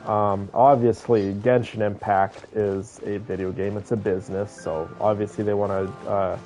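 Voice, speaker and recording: Male gaming streamer: lowish, soft-toned voice, rambling casual reactions, faint music underneath. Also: level tone, moderate pace. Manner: rambling, hesitant, reacting to the game. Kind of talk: casual game playthrough commentary. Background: game music.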